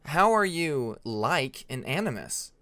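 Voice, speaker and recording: A man voicing a troubled young male dragon in a fantasy audiobook, questioning with drawn-out, emphatic words. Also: a little high, sing-song, pushed and bright, no room sound, heavily acted. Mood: bewildered, anxious.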